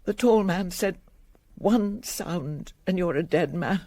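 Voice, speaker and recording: A woman's voice: on the low side, slow pace, dark tone, no echo. A wealthy middle-aged widow in a radio drama. She is dignified but shaken, and her voice is recorded close and dry. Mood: shaken, recounting.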